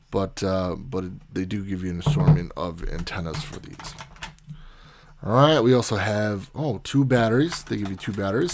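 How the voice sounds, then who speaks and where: Low, creaky-voiced man hosting an unboxing. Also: a little hiss or hum. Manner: informal, conversational, explaining step by step. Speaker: video host, product unboxer. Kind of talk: casual unboxing commentary.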